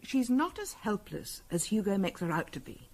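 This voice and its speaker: A woman's voice: mid-range voice, very animated, moderate pace, darkish tone. An actress playing an anxious English middle-class wife in a 1970s radio drama, earnest and hesitant as she defends her friend. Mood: defensive, agitated.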